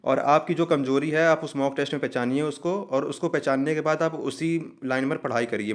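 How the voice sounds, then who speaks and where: Man explaining updates to students. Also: medium pitch. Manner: explanatory, reassuring, mixes English terms into speech. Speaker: host of an exam update channel. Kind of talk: informational explainer addressed to students.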